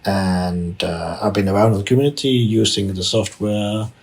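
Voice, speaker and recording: Very deep, husky-voiced man from Denmark. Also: steady tone, normal speed. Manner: frequent restarts, repeated words and fillers. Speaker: podcast guest, tech executive. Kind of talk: conversational interview answers.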